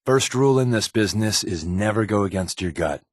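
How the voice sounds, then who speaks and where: An actor playing a wisecracking, sardonic spy companion in a video game, with casual scripted dialogue. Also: male voice, on the low side, animated, a little fast, dry recording, studio quality. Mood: dry, sardonic. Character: wry, joking male spy companion with dry swagger.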